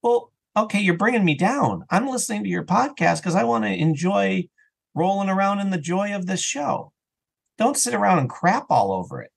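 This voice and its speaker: A man with a fairly high voice talks as a guest in a casual podcast interview. He is conversational and opinionated, making his points with emphasis. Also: big pitch swings, normal speed, creaky, breathy edge. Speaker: interview guest, audio drama writer and actor.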